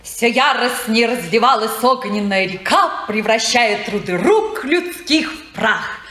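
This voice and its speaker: Dreamy young niece: high, lively, playful, vintage radio-play echo. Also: female voice, a little slow. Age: young adult. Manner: playful, hums to herself. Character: dreamy young niece who reads romance novels. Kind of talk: 1950s radio drama acting. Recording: echoey old radio studio recording.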